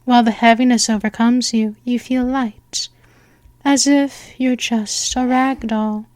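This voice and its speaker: A woman playing a seductive vampire mistress in a hypnosis roleplay, speaking softly and slipping into whispers. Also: fairly high pitch, steady tone, no room sound. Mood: hypnotic. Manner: slow, soft, hypnotic, with whispered stretches.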